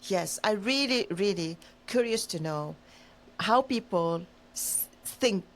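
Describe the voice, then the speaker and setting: A woman co-hosting a podcast, speaking English as a non-native. She talks thoughtfully, rambling a bit and hesitating often. Her pitch rises and falls freely. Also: lively intonation, takes it slowly. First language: not Dutch, not English. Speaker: podcast co-host. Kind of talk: casual chat.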